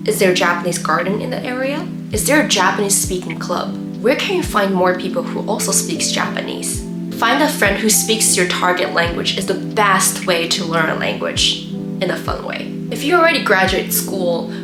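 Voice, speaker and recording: A woman whose first language is Mandarin Chinese, hosting her own channel and giving earnest, steady tips in scripted tutorial narration. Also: mid-range voice, moderate pace. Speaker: YouTube host. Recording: roomy echo with loud background music.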